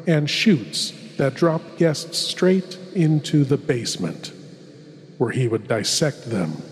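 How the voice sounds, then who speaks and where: Slow, theatrical male horror host. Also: mid-range voice, darkish tone, a little hiss or hum. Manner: theatrical, ominous, formal. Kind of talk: scripted horror-host narration. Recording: long reverberant hall effect. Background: music.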